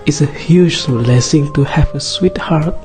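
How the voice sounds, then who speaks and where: A man's voice: fairly high pitch, measured pace, music in the background, natural voice. A man playing an adoring, attentive young man on a first date in an amateur romance radio drama, earnest and tender. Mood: loving.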